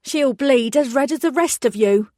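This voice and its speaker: A woman's voice: high voice, normal speed, a little mellow, no echo, studio quality, voice pushed up, natural voice. A woman voicing a fake-Cockney female robber. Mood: menacing. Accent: put-on Cockney. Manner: threatening, clipped orders.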